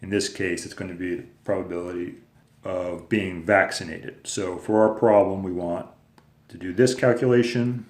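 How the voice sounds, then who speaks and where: A man's voice: low-pitched, fairly even intonation, normal speed, darkish tone. A man teaching a recorded lesson, explaining patiently step by step with casual fillers. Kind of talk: recorded lecture video. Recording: echoey room.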